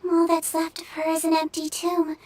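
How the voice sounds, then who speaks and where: A woman voicing a small, gentle, childlike magical nurse in a video game, soft and earnest. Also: very high-pitched, completely flat delivery, moderate pace. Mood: wistful. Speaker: game character voice.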